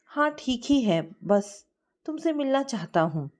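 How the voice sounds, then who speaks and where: A woman's voice: on the high side, sing-song, a little slow, darkish tone. A woman voicing a downcast, troubled young man in love in an audio storybook, dejected and reluctant.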